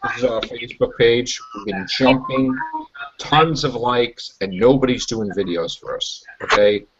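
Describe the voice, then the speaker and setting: A man hosting a casual web show, talking in an emphatic, pushy and animated way, on a muffled lo-fi recording. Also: mid-range voice, unhurried, a little hiss or hum. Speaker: host.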